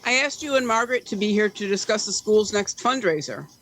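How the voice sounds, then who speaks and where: A woman plays a polite school lunch organiser in an audio play. The voice is mid-pitched, courteous and orderly. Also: lively intonation, brisk, a bit bright, rough recording. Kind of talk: amateur audio play acting.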